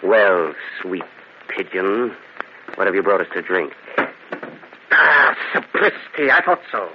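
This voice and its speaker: An actor in an old-time radio drama playing a cruel, boastful, domineering rich Italian husband, sneering and theatrically mock-hearty. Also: male voice, sits low, very animated, slow, heavily acted. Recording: muffled, noisy old radio drama recording.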